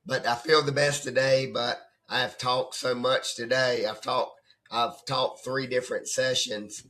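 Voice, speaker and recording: A hoarse man who has nearly lost his voice, talking as a trading coach in a rambling, conversational way and often checking in with "right?". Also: mid-range voice, flat delivery, measured pace, bright, forceful tone. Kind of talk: casual off-the-cuff coaching talk. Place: at his computer desk.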